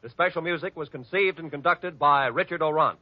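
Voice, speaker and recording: A man announcing on a vintage radio broadcast, crisp and promotional in his delivery. His voice sits slightly high, over clear music, and the sound is dull and lo-fi like an archival recording. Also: fairly quick. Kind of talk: old-time radio announcing.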